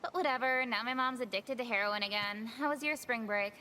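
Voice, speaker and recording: तीखी आवाज़ वाली मुँहफट किशोरी. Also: female voice, a little high, brisk, slight background noise. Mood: blunt, teasing. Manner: brash, deadpan, mocking. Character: blunt, crude, teasing teenage high school girl friend. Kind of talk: scripted visual novel dialogue.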